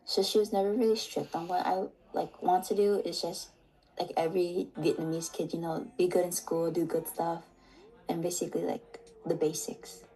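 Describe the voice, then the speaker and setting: A nineteen-year-old woman from Seattle answering interview questions in a relaxed, soft-spoken way, saying "like" often. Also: fairly low pitch, normal speed, slightly rough, rough recording. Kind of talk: casual conversation.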